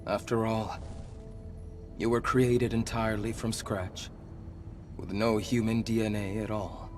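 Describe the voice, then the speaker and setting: An actor playing an ancient monster leader who was once human, speaking gravely, with measured, earnest persuasion in a video game cutscene. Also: male voice, lowish voice, flat delivery, a little mellow, lightly acted. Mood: persuasive. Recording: background music under the voice.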